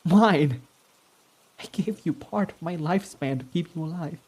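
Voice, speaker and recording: A man's voice: a little high, moderate pace, a little mellow, breathy edge. A man voicing a proud, defensive young male villain in an ASMR roleplay who swears when flustered, over faint rain. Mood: heated, defensive. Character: proud tsundere young male villain, gruff but smitten.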